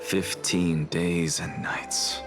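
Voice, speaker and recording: An actor playing a stern, cynical scholar in a video game, with a measured, deadpan delivery. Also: male voice, sits low, gravelly, music in the background, exaggerated voice. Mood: dry, resolute. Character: dry, stern male scholar, calm and cynical. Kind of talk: scripted game dialogue and narration.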